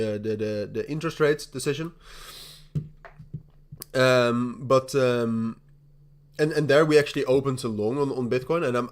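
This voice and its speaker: A young man with a Dutch accent hosting a casual crypto livestream, rambling with frequent laughs, repeated starts and the odd swear. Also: mid-range voice. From Netherlands. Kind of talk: casual livestream chat while trading.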